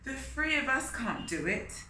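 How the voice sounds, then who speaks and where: Lady doing a sure-of-himself little spider guy in a kids book, eager, higher voice, roomy echo. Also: big pitch swings, measured pace, pushed and bright, heavily acted. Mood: confident. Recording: roomy echo with faint noise.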